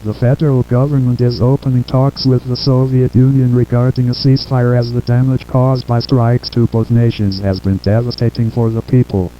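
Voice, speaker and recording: A synthetic man's voice playing a solemn president giving a formal, measured wartime address to the nation. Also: medium pitch, flat delivery, natural voice. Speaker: synthesized voice reading a president's statement. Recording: dull lo-fi broadcast with hum and noise.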